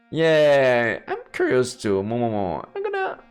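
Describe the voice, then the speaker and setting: A man doing playful let's play commentary, reading a visual novel aloud and throwing in silly exclamations. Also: clear, resonant tone. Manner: playful, breaks into silly high-pitched exclamations. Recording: game music behind the voice.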